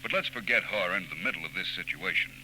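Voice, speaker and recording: Through heavy hiss and hum of an old radio recording comes a very low-voiced private detective. He is suave and sure of himself, laying out his reasoning with precise, cool confidence in 1940s radio style. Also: lively intonation, pushed and bright, heavily acted, poor audio. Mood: smug, explanatory. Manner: precise, unhurried, coolly confident explanations. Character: suave, self-assured male private detective explaining his case.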